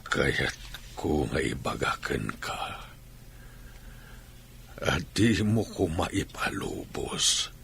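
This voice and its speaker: An actor playing a frail, ailing old Filipino father in an audio drama, tender and weak, with a breathy whispering voice. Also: a bass voice, big pitch swings, drawn-out words, long pauses, a bit bright, heavily acted. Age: older. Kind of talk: Ilocano audio drama acting. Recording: background noise behind the voice.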